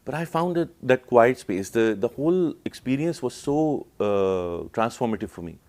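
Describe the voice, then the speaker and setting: A man's voice: medium pitch, animated, moderate pace, a little mellow. A middle-aged man from Pakistan speaking as an artist being interviewed, reflective and hesitant as he searches for his words.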